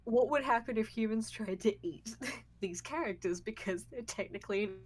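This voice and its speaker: A woman talking casually as a guest on a podcast about her creative work, with a halting, giggly delivery. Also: fairly high pitch, crowd noise. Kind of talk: casual chat.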